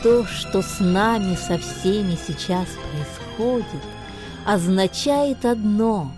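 An actress playing a gentle storyteller of a magical winter night in a radio play, reciting verse slowly over music. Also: female voice, mid-range voice, close to an everyday voice. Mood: tender, festive.

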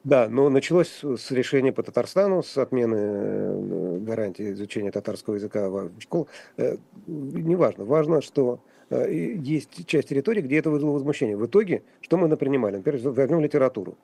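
A man speaking as a guest commentator on a radio talk show, halting and wry, with frequent restarts and fillers. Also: mid-range voice, lively intonation, creaky, dark tone, breathy edge. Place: radio studio.

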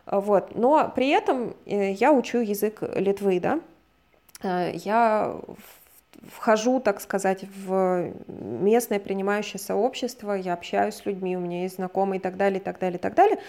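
A woman from Russia, a guest expert, explaining ideas in a measured, lecturing way and often hedging her words as she talks. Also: mid-range voice, a little mellow. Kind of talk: explanatory conversation.

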